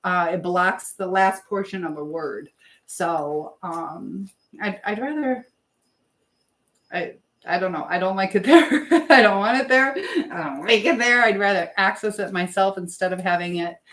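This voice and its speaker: A woman's voice: medium pitch, measured pace, a bit bright. A woman hosting a casual live chat, rambling and trailing off as she answers viewers while busy with something else. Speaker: livestream host.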